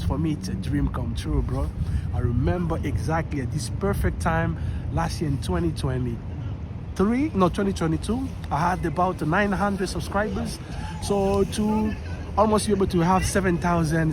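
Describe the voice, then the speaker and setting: A young man from Rwanda hosting a casual Q and A vlog outdoors in a city, chatty and relaxed. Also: fairly high pitch. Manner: relaxed, chatty, loose and upbeat. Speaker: YouTube channel host. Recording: outdoor city noise and traffic. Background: street and traffic noise.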